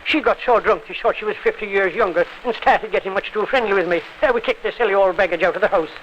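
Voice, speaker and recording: An actor playing a wheedling, blustering Irish scoundrel with an effusive, flowery manner and an Irish accent, in 1950s radio crime drama style. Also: male voice, sits high, quick pace, lightly acted. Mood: blustering, evasive. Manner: effusive, flowery, theatrical blarney. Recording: muffled old radio recording with faint noise.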